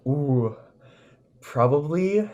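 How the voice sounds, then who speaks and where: A man with a Canadian accent giving casual, enthusiastic interview answers, often pausing with "like" and "you know". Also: on the high side, animated, a little mellow, dull audio. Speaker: recording artist, interview guest.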